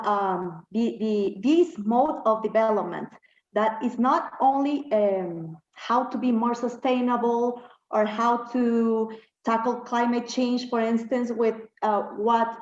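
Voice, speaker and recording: Woman activist from ecuador, halting and full of ums, slightly high voice, echoey muffled room. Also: darkish tone, a little hiss or hum. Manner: hesitant, searching for words, many fillers. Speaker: health activist. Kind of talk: recorded video statement. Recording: echoey, dull lo-fi room recording.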